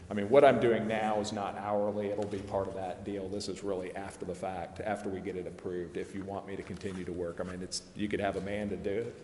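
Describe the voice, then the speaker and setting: Male, lowish pitch, lawyer addressing a meeting, informal and self-deprecating, echoey room. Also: darkish tone. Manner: plain-spoken, discursive, self-deprecating. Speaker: lawyer advising a city council. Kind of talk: informal explanation at a public meeting. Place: indoor council chamber. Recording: echoey hall with long reverb, background noise.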